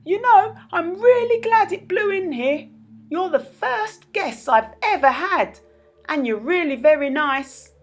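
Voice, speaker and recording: Woman voicing a high-pitched, timid little storybook man. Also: lively intonation, unhurried. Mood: shy delight. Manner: meek, bashful, pleading. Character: timid little funny man, pleading and eager. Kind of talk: children's storybook read-aloud.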